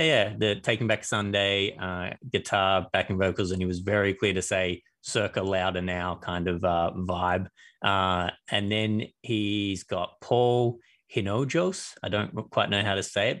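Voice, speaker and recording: A man's voice: low-pitched, fairly even intonation, moderate pace, fairly bright tone. A man co-hosting a casual podcast chat, often hesitating and repeating words. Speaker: podcast co-host.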